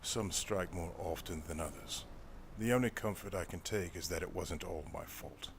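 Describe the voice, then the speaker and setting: A man's voice: low voice, normal speed, gravelly, a little hiss or hum. A man playing a formal, dry, weary older private investigator in an audio drama, speaking in precise, wordy sentences. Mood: regretful. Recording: music behind the voice.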